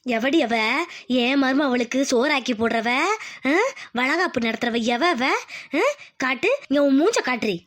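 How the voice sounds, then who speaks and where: A woman's voice: high-pitched, unhurried. A woman voicing a hot-tempered, domineering village mother-in-law in a cartoon family drama, scolding and threatening. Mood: furious, scolding.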